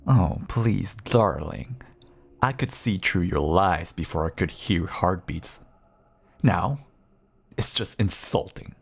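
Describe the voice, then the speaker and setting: A man playing a feral, manipulative vampire husband in an audio roleplay, mocking and hungry, drawling his endearments in a husky, gravelly voice. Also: deep voice, expressive, heavily acted. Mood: smug, taunting. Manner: slow, taunting, drawling endearments. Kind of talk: intimate audio roleplay acting.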